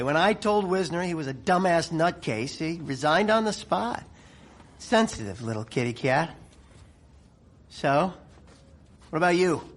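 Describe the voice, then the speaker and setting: An actor playing a blunt, blustering middle-aged American general in a radio drama, folksy and profane, tripping over his words. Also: a little high. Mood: brusque. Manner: stammering, exasperated, profane, folksy.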